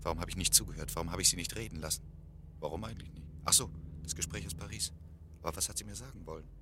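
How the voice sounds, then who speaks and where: A man's voice: sits low, rapid-fire, background noise, lightly acted. A man acting in a German radio play as a self-absorbed, stubborn scholar, boastful yet anxious, with a brusque, hesitant delivery. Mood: anxious, brooding. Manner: hesitant filler-laden speech, brusque, interrupting.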